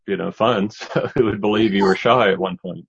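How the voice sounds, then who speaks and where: A man interviewing a guest in a casual chat, relaxed and encouraging as he asks questions. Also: on the low side, fairly quick, vocal fry, breathy edge. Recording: dull lo-fi sound.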